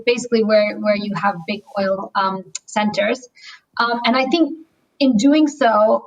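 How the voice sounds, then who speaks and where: A woman's voice: medium pitch, poor audio. A woman guest speaking earnestly in a webinar over a video call, thoughtful with frequent ums. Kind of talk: conversational webinar answer.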